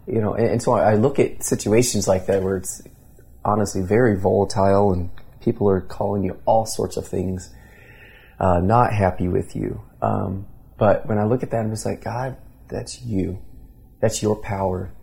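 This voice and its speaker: Low gravelly-voiced earnest pastor man. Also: normal speed, mellow. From Indiana, USA. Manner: earnest, many restarts and fillers. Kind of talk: conversational interview storytelling.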